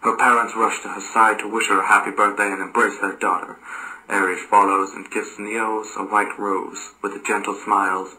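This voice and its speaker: A man narrates a story from a script in a low-pitched voice. The tone is strikingly bright and forceful. He reads aloud and now and then trips on a word. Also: level tone, normal speed, low-quality recording.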